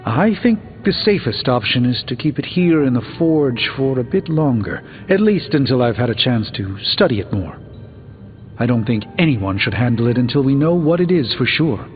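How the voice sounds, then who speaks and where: Guy playing a careful bookish wizard man in a video game, measured n formal, muffled with big reverb, music behind. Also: mid-range voice, sing-song, natural voice. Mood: measured, uneasy. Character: cautious, scholarly adult male sorcerer, uneasy yet dry-witted. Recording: muffled narrow-band sound with long reverb.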